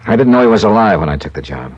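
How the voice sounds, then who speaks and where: An actor playing a wry, clipped, hard-boiled American insurance investigator in 1950s radio detective style. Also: male voice, lowish voice, sing-song, rapid-fire, slightly rough, lo-fi sound. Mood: rueful. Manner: clipped, dry. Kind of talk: 1950s radio drama, first-person detective narration.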